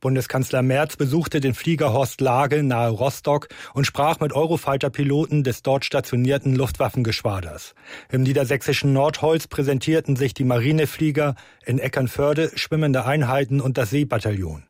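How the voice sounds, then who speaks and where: A male news correspondent reads a report in a steady, factual manner. His voice sits in a middle register. The sound is dry and close. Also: medium pitch, normal speed, no room sound.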